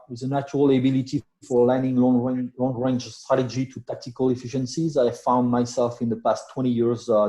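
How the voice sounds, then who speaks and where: A man from France with a French accent, introducing himself as a business executive in halting phrases. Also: medium pitch, dark tone. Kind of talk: self-introduction at an online networking meeting.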